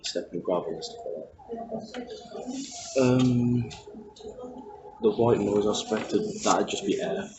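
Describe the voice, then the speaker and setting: A man's voice: on the low side, slow pace, pauses often, muffled recording. A man brainstorming casually in a student group discussion. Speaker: student planning a radio drama. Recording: echoey room with clear noise.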